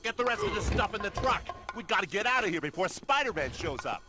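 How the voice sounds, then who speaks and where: A gruff, urgent henchman for a video game. He talks fast in a high, animated voice over music.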